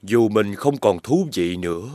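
A man voicing a gentle, loyal toy police officer in an animated series. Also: lowish voice, unhurried. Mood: tender. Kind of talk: Vietnamese animated series dubbing.

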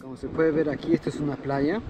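A man's voice: a little high, flat delivery, quick pace, loud music behind the voice. A young man, chatting and teasing as he films a casual vlog tour on busy city streets. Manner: chatty, teasing, laughs often. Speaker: vlogger.

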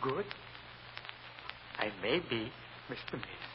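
An actor playing a troubled, humble immigrant witness, speaking halting, formal English in an old radio courtroom drama. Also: male voice, mid-range voice, big pitch swings. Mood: grave, subdued. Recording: muffled, noisy old radio recording.

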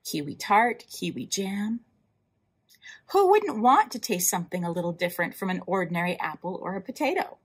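A woman hosting a library storytime, reading a picture book aloud in an animated way, with emphatic drawn-out words and asides to young listeners. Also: medium pitch, slightly rough. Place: indoors, in front of a wall with floral decals.

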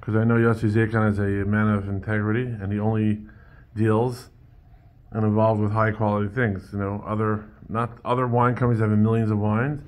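A man with a fairly low voice chats as an interviewer in an indoor room. He is informal, thinking aloud between his questions, and speaks from behind a phone camera. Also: flat delivery, normal speed, darkish tone. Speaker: interviewer, beverage seller. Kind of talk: casual chat, interview questions.

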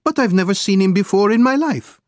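A man's voice: high-pitched, lively intonation, fairly quick, dry recording, studio quality, voice pushed up. A man voicing an anxious, secretive Italian political exile in dramatic, earnest audiobook dialogue. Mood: puzzled, surprised.

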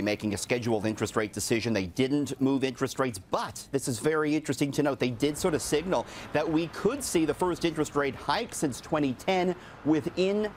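A man in his thirties delivers a business segment from a radio newsroom, his pitch in a middle range. His manner is chatty and easy, as if bantering with a co-anchor, with faint studio noise behind him. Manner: chatty, joking banter between reports. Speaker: business editor.

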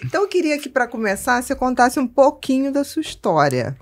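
A woman's voice: high-pitched, sing-song, brisk. A middle-aged woman with a Brazilian accent, chatting casually as a podcast host into a close studio microphone. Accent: Brazilian Portuguese. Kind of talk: casual interview chat. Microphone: desk broadcast microphone up close.

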